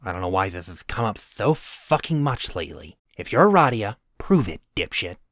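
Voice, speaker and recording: A man's voice: fairly low pitch, sing-song, moderate pace, a bit bright, dry recording, studio quality. A man voicing a sarcastic, swearing young male troll in an audio drama. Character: foul-mouthed, grieving young male troll.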